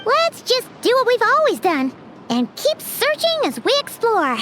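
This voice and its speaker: Bubbly little floating sprite girl, very high squeaky voice, bouncy sing-song delivery, game music behind. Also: huge pitch swings, measured pace, heavily acted. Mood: cheerful. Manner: bubbly, talks about herself in third person. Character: tiny chatty female floating companion sprite. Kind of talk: video game dialogue.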